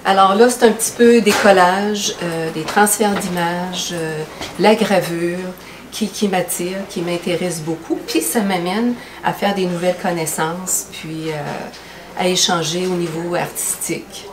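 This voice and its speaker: A woman's voice: lowish voice, steady tone, a little slow. A woman with a Quebec French accent who describes how she came to painting, with many fillers. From Outaouais, Quebec. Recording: echoey room from a distance, noise behind.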